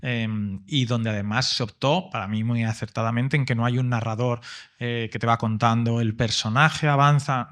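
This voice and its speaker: A man from explaining earnestly and conversationally as a panel speaker. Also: mid-range voice.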